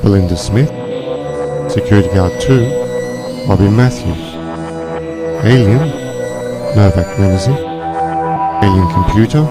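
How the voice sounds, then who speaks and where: A man's voice: lowish voice, a little slow, long pauses. A man announcing credits from a script in a formal, list-like cadence. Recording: loud music behind the voice.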